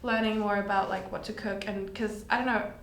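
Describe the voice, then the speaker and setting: Podcast guest: woman, early twenties, from Germany, flat-toned chatter, echoey room. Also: medium pitch, a little fast. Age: 20s. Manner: chatty, many fillers. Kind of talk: casual chat. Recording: echoey room with faint noise.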